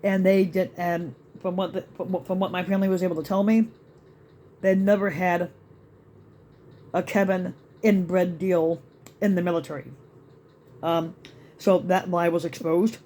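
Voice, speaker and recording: A man ranting in a rambling vlog to his channel, with many restarts and fillers, his voice still slightly raspy after being sick. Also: high-pitched, level tone, measured pace, pauses often, mellow, a little hiss or hum. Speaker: YouTube vlogger. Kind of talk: rambling rant to camera.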